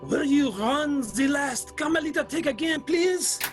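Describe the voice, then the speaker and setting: A woman playing a commanding film director in an old-time radio drama. The voice is high and very slow, drawing out each instruction with theatrical weight. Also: faint music behind. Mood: businesslike. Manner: drawn-out, coaxing instructions. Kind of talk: 1940s radio drama acting.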